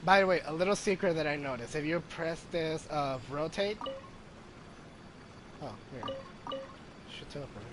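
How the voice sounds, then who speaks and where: A man's voice: a little high, lively intonation, normal speed, long pauses, very noisy. A man giving casual live gameplay commentary, hesitant and thinking aloud as he goes. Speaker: game streamer. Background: game music.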